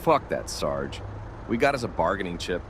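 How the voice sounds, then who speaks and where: A man's voice: sits low, big pitch swings, normal speed, fairly bright tone, a little hiss or hum. A man playing a sneering, insubordinate soldier in an audio comic drama. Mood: scheming, defiant. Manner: sneering, swearing. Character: crude, reckless, insubordinate soldier. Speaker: voice actor.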